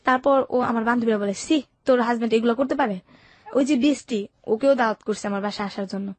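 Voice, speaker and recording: Young female interview guest, Bangladeshi accent, candid colloquial storytelling, quick pace, mid pitch, dry sound. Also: a little mellow, no echo. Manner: colloquial, recounts events with quoted dialogue. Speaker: interview guest telling her life story. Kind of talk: candid personal storytelling in conversation.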